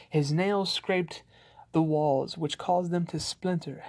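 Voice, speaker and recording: A man voicing a frightened father who narrates a scary Christmas poem in a theatrical, ominous, drawn-out manner. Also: a little high, darkish tone, no room sound, close to an everyday voice. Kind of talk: dramatic storybook poem reading.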